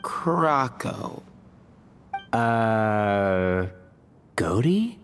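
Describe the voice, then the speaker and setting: A man's voice: on the low side, sing-song, extremely slow, a little hiss or hum, heavily acted. A man playing a laid-back, dry and weary young man in a video game. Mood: confused. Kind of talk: video game character acting.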